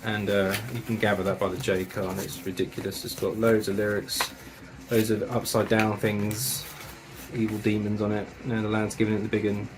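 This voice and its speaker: A man's voice: on the low side, flat delivery, moderate pace. A man casually reviewing metal records and tapes, enthusiastic and chatty, with slang and swearing.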